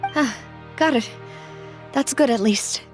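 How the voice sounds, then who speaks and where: Young lady boss, anime game drama: high voice, resolute yet kindhearted. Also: takes it slowly, soft background music. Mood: solemn, resolute.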